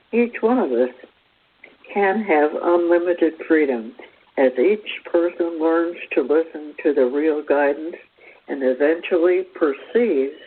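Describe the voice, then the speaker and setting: A man presenting a spiritual teaching as a read-out talk, with measured, deliberate phrasing in short pieces. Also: on the high side, slightly rough. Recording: thin phone-like radio sound.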